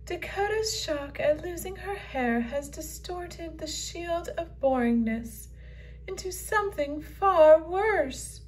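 A woman's voice: very high voice, lively intonation, takes it slowly, far from the mic, slight background noise, voice pushed up, heavily acted, rough recording. Woman reading as a vain, proper unicorn. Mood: grave. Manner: grand, formal storybook delivery. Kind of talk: children's comic read-aloud.

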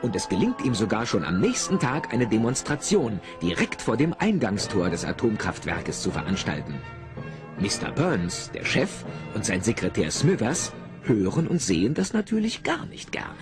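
A man narrating a scripted story in a colloquial, wry and animated way, with a husky voice. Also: fairly low pitch, moderate pace, music in the background.

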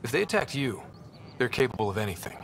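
An earnest young space explorer. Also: male voice, medium pitch, fast talker, gravelly, a crowd in the background. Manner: calm, earnest, plainspoken. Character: young male space explorer, steady leader. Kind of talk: video game cutscene dialogue.

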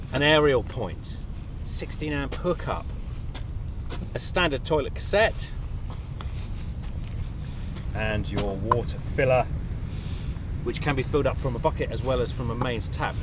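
A man's voice: mid-range voice, a little slow, pauses often, noisy background. A man in his forties presenting a camper van with upbeat, chatty enthusiasm as he walks around it and inside, pointing out features. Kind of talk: upbeat walkaround review. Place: outdoors by a van on a windy coast, and inside the van.

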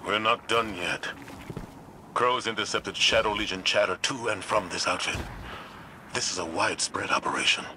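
An actor playing a steady military commander giving mission briefings in a video game, measured and authoritative. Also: male voice, lowish voice, noisy background, natural voice. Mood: steady, focused. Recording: loud game ambience behind.

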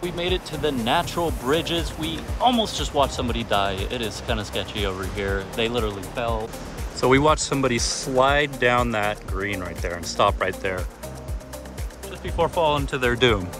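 A man's voice: mid-range voice, lively intonation, normal speed. A man in his thirties filming a casual travel vlog outdoors on a phone held at arm's length, with upbeat, joking tour-guide patter. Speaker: vlogger. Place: outdoors on a forested coast. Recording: music playing behind.

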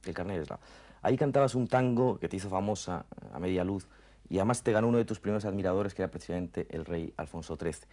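A man's voice: deep voice, fast talker, mellow. A man, asking questions as a television interviewer. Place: dim furnished lounge, indoors. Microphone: table microphone on a stand.

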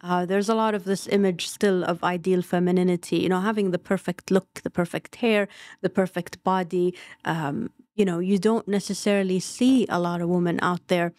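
A woman's voice: lowish voice, steady tone, normal speed, a little mellow, dry recording. A woman in her forties, a literature professor, speaking reflectively in a podcast interview with many "you know" fillers. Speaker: guest, literature professor and writer. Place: small podcast studio indoors. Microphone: boom mic on arm close to mouth.

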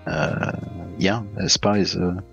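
A man's voice: sits low, little pitch movement, slow, vocal fry, fairly bright tone, lo-fi sound, soft background music. A Belgian-born man in his forties, head of a game studio, talking hesitantly with many fillers over a video call on a headset.

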